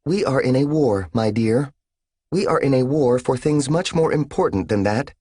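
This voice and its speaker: A man reading an audiobook, voicing a frail older mother whose words come through a voice modulator, calm, even and pleasant. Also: mid-range voice, moderate pace, breathy edge, no room sound, voice pushed up.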